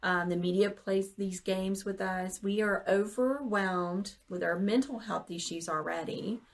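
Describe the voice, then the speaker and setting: A woman's voice: mid-range voice, measured pace. A woman hosting a podcast, talking casually in an earnest, encouraging way. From Southern United States. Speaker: podcast host. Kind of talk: casual conversational interview.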